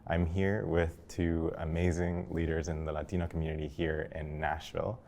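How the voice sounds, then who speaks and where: A man's voice: extremely low pitch, little pitch movement, normal speed, gravelly, a little mellow, slight background noise. A man hosting a discussion, welcoming his guests in a friendly way and asking them questions. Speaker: host.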